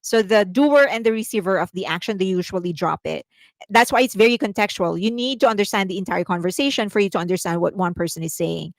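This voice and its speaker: A chatty Filipino woman with a Filipino accent, hosting a casual commentary stream. She explains at length, reads viewer comments aloud and laughs often. Her voice sits mid-pitch and sounds dry and close to the mic. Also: quick pace, vocal fry, dry recording. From Philippines. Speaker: host.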